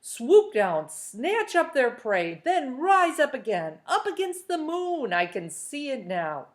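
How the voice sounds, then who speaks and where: Woman reader doing a sly, jittery storybook trickster man, smooth and coaxing. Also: sits high, sing-song, slow pace, voice pushed up, heavily acted. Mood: scheming. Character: twitchy mischievous male trickster sibling.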